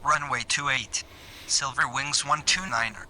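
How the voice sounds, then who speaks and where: A businesslike male airline pilot voiced by a man in a flight game, very bright and forceful at a middle pitch. He makes formal radio calls over faint background noise. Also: steady tone, a little slow. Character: brisk male airline pilot calling ground.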